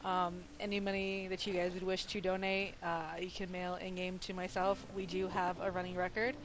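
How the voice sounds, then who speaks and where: A woman's voice: lowish voice, completely flat delivery, moderate pace, slight background noise, poor audio. A woman who keeps the guild bank, giving practical updates in a casual voice chat with frequent fillers.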